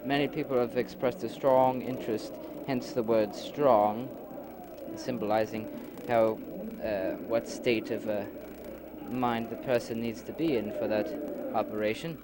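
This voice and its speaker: Man acting as an earnest, halting leader, very noisy recording. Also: mid-range voice, steady tone, lightly acted. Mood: earnest, explanatory. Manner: halting, explanatory, formal wording with many hesitations. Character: earnest male leader explaining his new afterlife society. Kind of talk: amateur philosophical audio drama dialogue. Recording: noisy homemade 1990s amateur recording.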